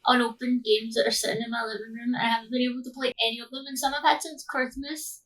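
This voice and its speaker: A woman's voice: fairly high pitch, level tone, fast talker, fairly bright tone. A woman chatting casually and expressively as a podcast co-host. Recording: distant mic with faint noise.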